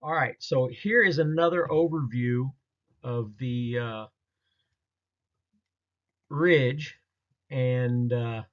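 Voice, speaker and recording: A man talking casually through a slideshow of his own experiences, in a halting, rambling, folksy way. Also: mid-range voice. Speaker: presenter recounting a field experience. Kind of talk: casual narrated slideshow storytelling. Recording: dull lo-fi audio.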